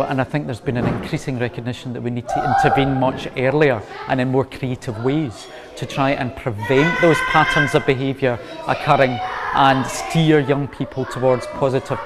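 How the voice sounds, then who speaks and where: A man's voice: mid-range voice, heavy background noise. Earnest Scottish man in an interview. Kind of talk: considered interview talk. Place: large community hall, indoors. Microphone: lapel mic.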